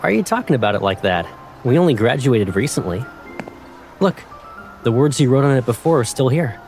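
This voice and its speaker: A man's voice: mid-range voice, sing-song, fairly quick, a little mellow. A man playing a teasing, affectionate young man, a protective childhood friend, in a fan dub of a romance game. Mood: teasing, nostalgic. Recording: faint music behind.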